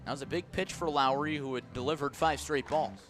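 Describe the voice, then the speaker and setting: A man's voice: medium pitch, fairly quick, over music. A man giving live radio play-by-play of baseball, in clipped descriptive phrases that rise with the action. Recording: noisy outdoor ballpark ambience.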